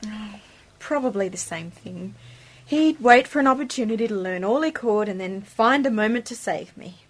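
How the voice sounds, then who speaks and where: A woman's voice: mid-range voice, expressive, moderate pace, natural voice. A woman playing a worried, stubborn young woman in an amateur radio play, earnest and questioning. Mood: reluctant, worried. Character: worried, stubborn young woman anxious to rescue her uncle.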